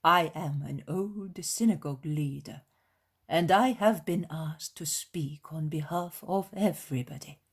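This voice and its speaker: A woman's voice: low-pitched, slightly rough, heavily acted. A woman voicing a stately old male synagogue leader in a religious story, formal and respectful. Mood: respectful, measured. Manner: formal, deliberate. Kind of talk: dramatised religious story reading.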